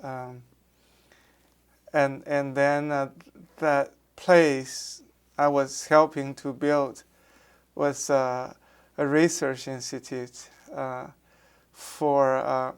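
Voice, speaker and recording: A man's voice: medium pitch, little pitch movement, drawn-out words, smooth. A man in his sixties from Beijing with a Beijing Mandarin accent, a native Mandarin speaker, answering questions in an oral history interview with many hesitations and restarts. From Beijing, China. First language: Mandarin Chinese. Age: 60s. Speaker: architecture professor.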